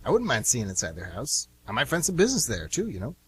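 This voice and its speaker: A man's voice: mid-range voice, huge pitch swings, quick pace, fairly bright tone, slightly rough, heavily acted. A man playing a shifty, easygoing younger brother and small-time thief in an amateur radio drama, talking in an offhand, slangy way. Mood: shifty, casual.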